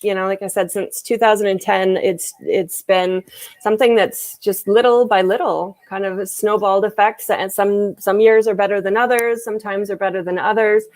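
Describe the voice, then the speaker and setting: A woman's voice: mid-range voice, steady tone, moderate pace, darkish tone, no room sound. A woman translator and interpreter answering interview questions in a casual, hesitant way, with many fillers and restarts over a video call.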